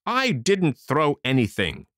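A man voicing a quarrelsome, sleepy male giant in a storybook reading, slow and grumbling. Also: medium pitch, wildly swooping pitch, a bit bright, dry recording, clean studio sound, voice pushed up, heavily acted.

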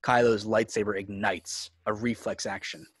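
A man's voice: lowish voice, fairly even intonation, moderate pace, no echo. A man reading scripted screenplay narration and stage directions for a table read.

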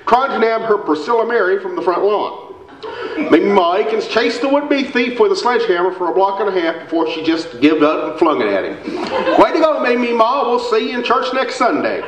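High, lively folksy radio man acted on stage. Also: fairly quick, crowd noise. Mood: amused, animated. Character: folksy small-town radio man, breezy and wheedling. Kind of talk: staged old-time radio comedy acting. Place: theatre stage, indoors. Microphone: stand microphone, camera far off. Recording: roomy stage recording from the audience.

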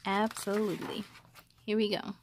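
A young American woman hosting a children's story time, reading aloud expressively with playful, animated delivery and friendly asides. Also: medium pitch, moderate pace, slight background noise. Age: young adult. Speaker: host of a children's read-aloud show.

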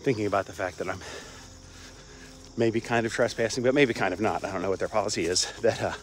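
A man's voice: on the low side, fast, slight background noise. Rambling older man on a walk. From Cincinnati, Ohio. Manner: rambling, chatty, frequent fillers, chuckles. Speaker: vlogger. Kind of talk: casual walk-and-talk vlog. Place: outdoors on a suburban campus walk. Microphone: phone held at arm's length, wireless earbuds.

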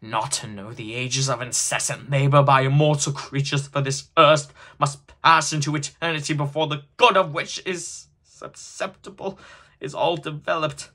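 A man's voice: on the low side, gravelly, pushed and bright, slightly rough, exaggerated voice. A man voicing the mournful, remorseful ghost of a dead businessman in a solemn, declamatory storybook reading. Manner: declamatory, solemn, drawn-out wailing.

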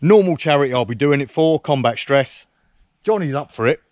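A man's voice: a little high, animated, brisk, darkish tone. A man talks to a camera set up close by in the woods. He teases a friend and pokes fun at himself in a loose, casual way. The sound is dry, with no room echo. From England. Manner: cheeky, teasing banter with dry self-mockery. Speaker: camping vlogger. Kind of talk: casual outdoor chat to camera. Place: woodland camp outdoors. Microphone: camera mounted close on a tripod.

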